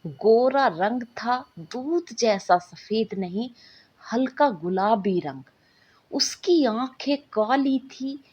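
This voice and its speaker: A woman narrating a romantic bedtime story in an expressive storytelling style. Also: fairly high pitch, husky. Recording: dull lo-fi recording.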